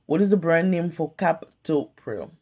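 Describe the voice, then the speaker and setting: A woman tutoring pharmacy students, calmly drilling drug names in a slow question-and-pause quiz, recorded on a phone at home. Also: low-pitched, breathy edge. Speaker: pharmacy tech study tutor. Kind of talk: slow flashcard-style quiz review. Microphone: phone camera filming whiteboard.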